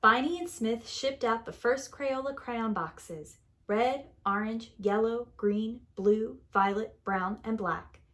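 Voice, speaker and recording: A woman reading a picture book aloud like a preschool teacher, in a deliberate, expressive storytelling manner that leans on key words. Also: a little high. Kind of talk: children's story read-aloud.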